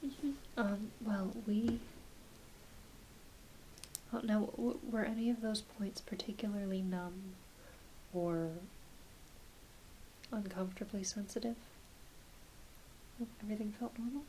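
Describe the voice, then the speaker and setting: A woman performing a soft-spoken, often whispered ASMR roleplay as a doctor, talking gently and reassuringly to a patient with some hesitancy. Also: mid-range voice, fairly even intonation, slow pace, pauses often, poor audio. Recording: clear background hiss.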